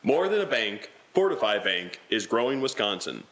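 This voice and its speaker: A man's voice: on the low side, sing-song, moderate pace, a bit bright, poor audio. A man reading a scripted radio commercial as an announcer. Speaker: advertisement announcer.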